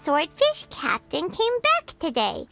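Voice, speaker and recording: Tiny fairy sidekick, extremely high squeaky voice, swooping intonation, excitable, childlike, video game, music behind. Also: female voice, slow, creaky, exaggerated voice. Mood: curious, surprised. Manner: refers to self in third person, exclaiming. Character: tiny excitable childlike fairy companion, female, talkative and helpful. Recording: background music under voice.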